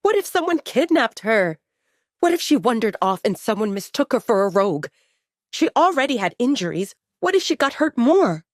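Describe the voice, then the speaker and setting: A woman's voice: a little high, sing-song, normal speed, no room sound. Dry close-sounding woman narrating dramatic fiction. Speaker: audiobook narrator.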